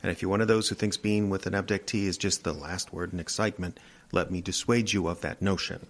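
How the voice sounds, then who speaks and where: A man's voice: low-pitched, steady tone, quick pace. An actor playing a guarded, irritable regular guy haunted by nightmares in an audio drama, defensive and exasperated. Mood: defensive, weary. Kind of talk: naturalistic audio drama acting.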